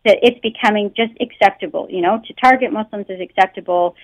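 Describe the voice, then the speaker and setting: A woman's voice: medium pitch, fairly quick, no echo. A Canadian woman, a guest researcher, speaks earnestly in a phone interview, often filling with "you know" and "um". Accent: Canadian English. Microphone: telephone. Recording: muffled phone line.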